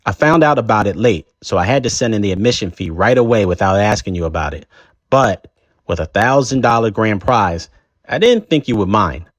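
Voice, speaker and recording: A man's voice: fairly low pitch, animated, a little fast, no echo. A man voicing a middle-aged father in a storybook reading, explaining plans plainly and encouragingly.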